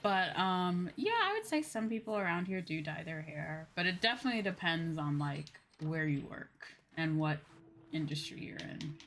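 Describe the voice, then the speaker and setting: A woman livestreamer chatting casually while she plays, sometimes musing aloud in a drawn-out, sing-song way. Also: on the low side, animated, fairly bright tone, a little hiss or hum. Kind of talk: casual game-stream chat. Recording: music behind. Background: game music.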